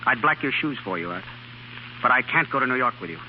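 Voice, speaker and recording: A man's voice: mid-range voice, animated, fast, natural voice. A man acting an earnest young country doctor in a 1930s radio drama, plain-spoken and a little hesitant. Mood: earnest, reluctant. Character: earnest young male country doctor, sincere, self-doubting. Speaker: radio actor. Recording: muffled 1930s radio broadcast, faint hiss.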